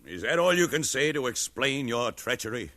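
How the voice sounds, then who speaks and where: An actor playing a stern, impatient judge with a curt, commanding manner in a 1950s radio drama. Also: male voice, mid-range voice, very animated, normal speed, pushed and bright. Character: stern, impatient male judge passing sentence. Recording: noisy 1950s radio recording.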